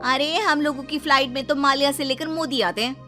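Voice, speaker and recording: A woman voicing a haughty young air hostess daughter-in-law in an animated moral story, boastful and dramatic. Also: high voice, a little fast, music in the background, close to an everyday voice. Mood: scornful, boastful.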